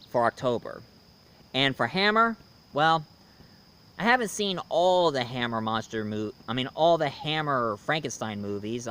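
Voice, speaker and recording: A man giving a casual, unscripted movie review as an online host, rambling and halting as he goes. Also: on the high side, a bit bright, smooth. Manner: rambling, halting, hesitant delivery.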